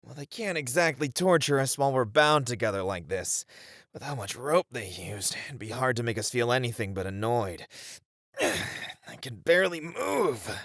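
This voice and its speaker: A man's voice: mid-range voice, very animated, moderate pace, a bit bright, dry recording, studio quality. A man playing a cocky, flirtatious captured master ninja with a flippant, sighing delivery in a scripted ASMR audio roleplay. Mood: annoyed, flippant.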